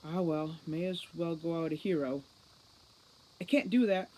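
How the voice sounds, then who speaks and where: A woman voicing a timid, officious little male fairy soldier in a storybook, halting and self-important. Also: low voice, fairly even intonation, dark tone, poor audio. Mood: defiant, puffed up.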